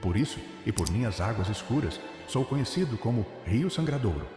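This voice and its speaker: A man with a Brazilian accent voicing a wounded, thirsty river in solemn, poetic first-person narration, with a gravelly voice. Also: mid-range voice, animated, a little fast, lightly acted. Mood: solemn, mournful. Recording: cavernous echoing reverb with faint music.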